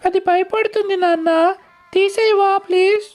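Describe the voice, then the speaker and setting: A woman's voice: very high-pitched, fairly even intonation, a little slow, a little mellow, faint music behind. A woman voicing a bossy, playful young girl pig in a children's cartoon, with a childlike, pleading way of talking. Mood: scared, pleading.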